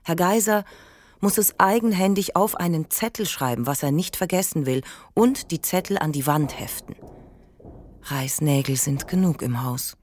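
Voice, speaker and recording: An actress as low-voiced sober female narrator. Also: moderate pace, darkish tone, natural voice. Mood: sober, measured. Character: sober female narrator observing an ageing widower. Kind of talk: literary radio play narration.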